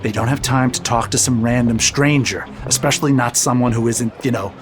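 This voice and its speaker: Casting: male actor as young, pragmatic captain, mid-pitched, casual and hedging, audio drama with music underneath. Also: steady tone, fairly quick, natural voice. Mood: urgent, insistent. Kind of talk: full-cast audio drama acting.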